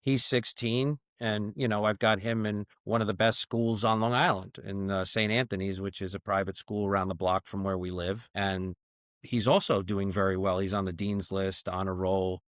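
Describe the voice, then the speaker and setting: A middle-aged man talking casually as a podcast guest, telling stories with lots of "you know" fillers and lively quoted voices. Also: lowish voice, moderate pace, no echo, studio quality. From born in Chile, raised in the US. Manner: chatty storyteller, many "you know" fillers, animated when quoting. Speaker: brewery founder, podcast guest. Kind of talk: casual conversational interview.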